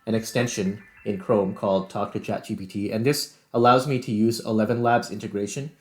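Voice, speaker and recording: A man's voice: lowish voice, fairly even intonation, fairly quick, darkish tone. A middle-aged man who works as a game developer, casually narrating a screen-recorded tutorial.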